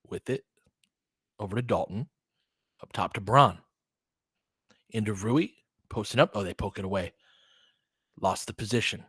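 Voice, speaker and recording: A man's voice: fairly low pitch, a bit bright, breathy edge, dry recording, clean studio sound. Man calling live basketball, clipped and casual. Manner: clipped short phrases, informal slang, partisan fan reactions. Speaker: play-by-play commentator. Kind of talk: casual live basketball play-by-play commentary with analysis.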